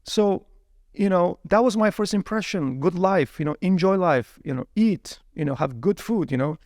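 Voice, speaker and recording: A man whose first language is Chinese, telling his story as a guest in a casual interview. He is lively and laughs often, with plenty of "you know" fillers. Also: high-pitched, normal speed, no echo, clean studio sound.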